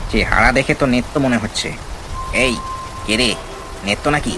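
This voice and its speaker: A man's voice: mid-range voice, moderate pace, close to an everyday voice. A man voicing an uneasy, timid village friend with a hesitant, colloquial manner in a cartoon ghost story. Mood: puzzled. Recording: clear rain noise over the voice.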